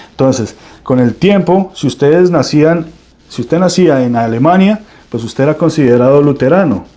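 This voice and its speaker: A man's voice: medium pitch, dark tone. A man from Colombia with a Colombian accent, preaching a sermon with emphatic swells and frequent restarts. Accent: Colombian Spanish.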